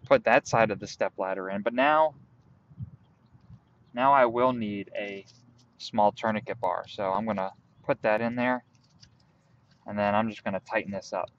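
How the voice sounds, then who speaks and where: A young man outdoors in a field, calmly walking through a hands-on tutorial step by step while he works with his hands. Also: lowish voice, normal speed, long pauses, dull audio, a little hiss or hum. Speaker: bushcraft instructor.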